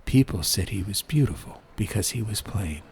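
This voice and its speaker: A man narrates an atmospheric audiobook in a low voice. He reads in measured short phrases, pausing between them. A faint bed of ambience sits behind him. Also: expressive, darkish tone. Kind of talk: slow atmospheric scripted story narration. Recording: clear background ambient noise.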